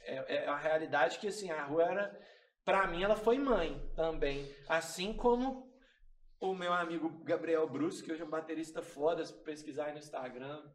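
A man's voice: on the high side, moderate pace, far from the mic. Slangy Brazilian man, roomy and off-mic. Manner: laid-back, slangy storytelling. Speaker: band member, producer. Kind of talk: casual podcast interview. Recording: echoey room, off-mic.

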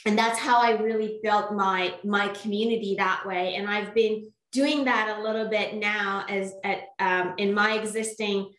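A woman's voice: medium pitch, steady tone, unhurried, few pauses, a bit bright. A woman in her thirties, born in Iran with Farsi as her first language, speaking thoughtfully as a podcast guest with many fillers and restarts. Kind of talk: conversational interview. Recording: echoey room.

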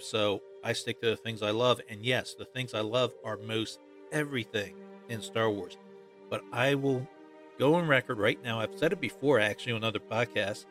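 Man hosting a fan podcast over loud music. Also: mid-range voice. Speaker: podcast host. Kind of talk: solo fan commentary on films.

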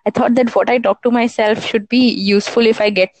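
A woman's voice: on the high side, steady tone, a little fast, slight background noise. A young woman from India talking about her worries in a casual voice chat on a phone app, rambling with lots of restarts. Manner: rambling, with many restarts and "you know".

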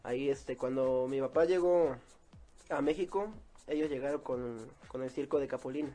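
A man's voice: mid-range voice, normal speed, mellow. A man, chatting casually as an interview guest. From Mexico. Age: 20s. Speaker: interview guest, circus clown.

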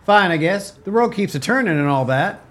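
Man playing a lively-pitched folksy local man. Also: brisk. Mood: resigned. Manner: homespun, plain-spoken country phrasing. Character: folksy, resigned local man living rough. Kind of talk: radio play acting.

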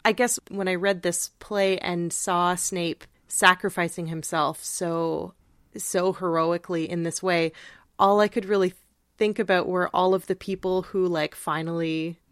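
A woman co-hosting a podcast, discussing a story casually with thoughtful, measured phrasing. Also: fairly low pitch, fairly even intonation, no room sound, studio quality. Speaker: podcast co-host. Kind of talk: casual literary discussion chat.

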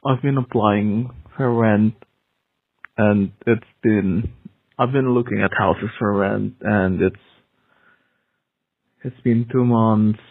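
A man whose first language is Indonesian leaves a halting, rambling voicemail full of restarts. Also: fairly low pitch, fairly even intonation, slightly rough, dry recording. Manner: halting, many restarts and fillers. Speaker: caller leaving a voicemail. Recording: tinny phone line.

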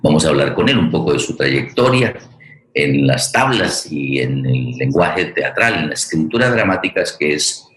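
A man's voice: extremely low pitch, sing-song, normal speed, a bit bright. A man with a Colombian accent hosting an online forum, speaking unscripted and searching for his words. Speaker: host of an online radio theatre forum. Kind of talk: unscripted spoken introduction to a live programme. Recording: roomy, echoing sound.

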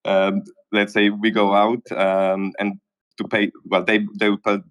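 Man, somewhat low voice, chatty, questioning, casual audio chat. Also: little pitch movement, measured pace. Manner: conversational, questioning. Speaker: audience speaker.

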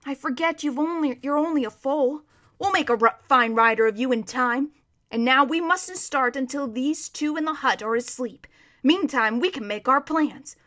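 A woman's voice: high-pitched, normal speed, fairly bright tone, pitched above the performer's own voice. A woman reading a storybook as a proud, bossy but kindly male war horse, with an emphatic, lecturing manner. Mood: gentler. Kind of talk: children's storybook character reading.

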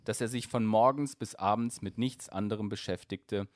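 A man with a very deep voice reads a fairy tale aloud at a calm, measured pace. The sound is dry and close, with no room around it. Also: no room sound. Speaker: storyteller.

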